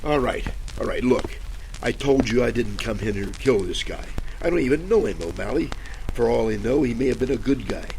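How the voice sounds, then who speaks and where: A man playing a cynical, wisecracking private detective in a deadpan old-time radio drama style. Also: mid-range voice, fairly quick, vocal fry, noisy background. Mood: defensive.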